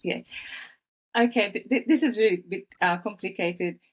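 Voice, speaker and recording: A woman in her fifties from Fiji, telling her life story as a podcast guest with many pauses, fillers and restarts. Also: medium pitch, husky. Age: 50s. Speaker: guest, author. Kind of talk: personal storytelling in interview.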